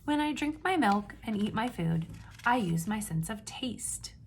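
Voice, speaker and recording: Slow-paced woman reading aloud to young children. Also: mid-range voice, animated, a little hiss or hum. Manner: instructive, asks simple questions and pauses before confirming answers. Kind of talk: children's read-aloud with questions to the listener.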